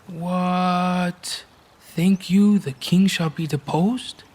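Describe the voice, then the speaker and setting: A man's voice: high voice, fairly even intonation, drawn-out words, a little mellow, exaggerated voice. A man playing a naive, easily startled gardener's helper in a Shakespearean radio play. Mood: surprised, questioning.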